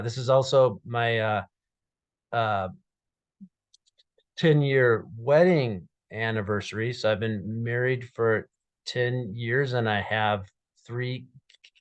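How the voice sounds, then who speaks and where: Man in his 30s who stutters, unhurried personal story told straight into a close webcam. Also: lowish voice. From Minnesota. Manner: stutters with repeated sounds and blocks, many fillers. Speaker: guest speaker. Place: small dark room indoors.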